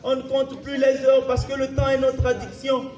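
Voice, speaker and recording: A man's voice: very high-pitched, completely flat delivery, normal speed, a little mellow, muffled recording. A man performing theatrical slam poetry on a live stage, declamatory, intense and anguished, with insistent repetition. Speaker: slam poet. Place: live festival stage, picked up from a distance. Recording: echoey live stage, distant mic. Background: flute music.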